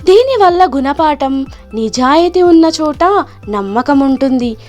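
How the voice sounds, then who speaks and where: High-pitched woman narrating a children's story. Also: sing-song, a little slow, a little mellow, soft background music.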